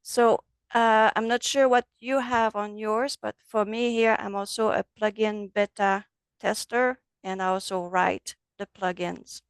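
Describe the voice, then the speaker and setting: A woman with a French accent, a native French speaker, teaching a relaxed online software class over a video call. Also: medium pitch, unhurried, smooth, no room sound. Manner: friendly, loose, switches between English and French. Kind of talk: casual online class walkthrough.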